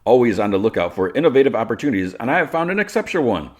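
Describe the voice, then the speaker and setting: A man voicing a smug, polished male villain entrepreneur with pompous, deliberate salesman patter. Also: medium pitch, big pitch swings, pitched above the performer's own voice.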